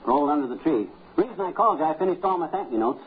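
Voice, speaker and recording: A man plays a blustery American husband from 1950s radio comedy, wisecracking in a folksy way. His fairly high voice swoops about, on a tinny, hissy old recording. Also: animated, very fast, natural voice. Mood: dry, folksy. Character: blustery, penny-wise, folksy married man.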